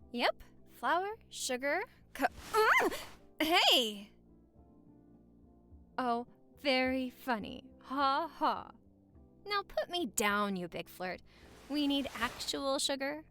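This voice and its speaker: High, lively, playful young wife played by a woman. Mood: fond, teasing.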